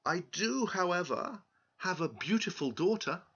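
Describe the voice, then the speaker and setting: A man's voice: sits high, lively intonation, unhurried, pushed and bright, husky, lo-fi sound, voice pushed up, heavily acted. A man voicing a poor old farmer in a fairy tale, eager, obsequious and boastful.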